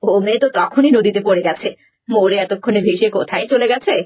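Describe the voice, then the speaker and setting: A woman's voice: mid-range voice, quick pace, a little mellow, slightly rough, natural voice. A woman voicing a cruel, scheming stepmother in a cartoon fairy tale, scolding and muttering to herself. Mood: uneasy, muttering.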